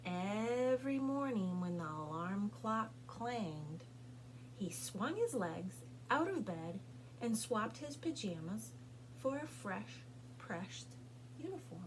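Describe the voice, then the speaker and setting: A woman reading a children's picture book aloud as a storytime host, with deliberate, expressive phrasing and pauses for young listeners. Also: medium pitch, slight background noise, poor audio. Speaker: storytime reader at a public library.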